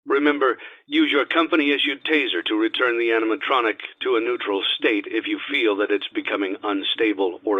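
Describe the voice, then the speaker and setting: A man voicing a calm, grave instructor on cassette training tapes for a video game, speaking formally in a measured, matter-of-fact and ominous way. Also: medium pitch, breathy edge. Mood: procedural, detached. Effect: cassette tape filter. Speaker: instructional tape narrator. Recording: dull, lo-fi cassette tape sound.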